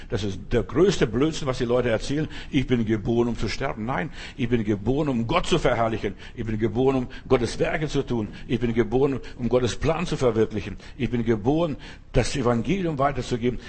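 A man's voice: medium pitch, darkish tone, husky. A man. He hammers home repeated phrases and talks straight to each listener. His voice sits in an ordinary middle register. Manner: emphatic, repetitive, addresses listener directly. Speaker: preacher. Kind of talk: sermon.

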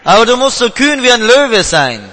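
A male interpreter turns each line of the sermon around right after the preacher, in a high and bright voice. The long echo of a large hall hangs behind him. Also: very animated, normal speed.

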